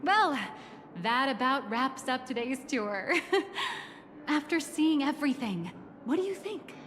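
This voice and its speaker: Poised, high-voiced corporate tour guide. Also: female voice, expressive, background noise. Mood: poised, pleased. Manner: formal, composed, measured phrasing. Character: self-assured female corporate tour guide, smooth and secretive. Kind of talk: scripted video game character dialogue.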